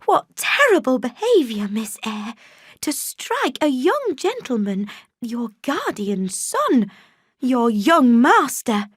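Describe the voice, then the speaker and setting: A harsh servant woman, self-righteous and sharp-tongued, in a storybook reading, as a woman puts her on. She scolds in a high, cutting voice. It sounds dry and close. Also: very animated, unhurried, breathy edge, no room sound, full character voice. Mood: scolding.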